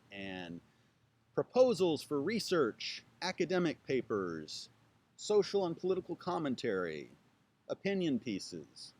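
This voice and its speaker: A chatty man introduces himself to camera from outdoors, his pitch swinging up and down as he talks. He has a playful, joking way of speaking and spells out words slowly, one letter at a time. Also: a little mellow. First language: English. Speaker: YouTube channel host. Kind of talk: casual self-introduction to camera. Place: outdoors with trees behind.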